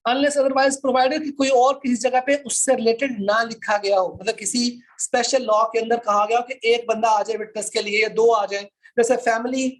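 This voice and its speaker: A woman's voice: on the high side, rough recording. A woman with a Pakistani accent lecturing on an online class, reading formal text aloud and explaining it with frequent short check-in tags. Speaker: law lecturer.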